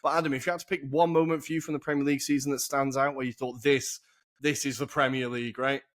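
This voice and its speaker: A young man hosting a casual football podcast over a video call, chatty and enthusiastic with quick asides. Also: mid-range voice, flat delivery. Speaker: podcast host. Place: humid room in a city flat.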